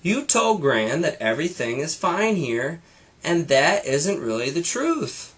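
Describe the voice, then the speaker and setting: A man's voice: fairly high pitch, big pitch swings, a little slow, a bit bright, breathy edge, full character voice. A man voicing an eager young girl bear cub who insists and exclaims, for a children's storybook. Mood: protesting. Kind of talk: children's storybook read-aloud.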